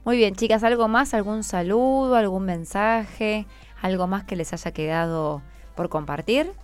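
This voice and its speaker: A woman's voice: medium pitch, a little slow, smooth, soft background music. A woman with a Rioplatense Argentine accent hosting an informal radio interview, patiently encouraging her guests with questions. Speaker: radio host interviewing schoolchildren.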